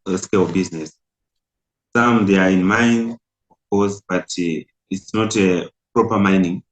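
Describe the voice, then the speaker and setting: Middle-aged imam from Zimbabwe, low and slow, pausing often, recorded in an echoey room. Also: male voice. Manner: hesitant, many filler pauses. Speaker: imam and national community president. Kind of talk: interview answers. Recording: roomy echo.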